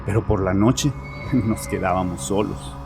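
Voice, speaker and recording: An actor playing a tormented, guilt-ridden father in a horror audio drama, confessing to a psychiatrist in an agitated, defensive, halting way. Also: low-pitched. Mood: bitter, defensive. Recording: music behind the voice.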